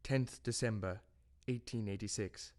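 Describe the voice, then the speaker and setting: A doomed Victorian gentleman doctor, acted by a young man for an audio drama. His voice is fairly low and grave. He pleads in formal, desperate phrases, as if voicing a letter. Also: a little slow, lightly acted. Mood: earnest. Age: young adult.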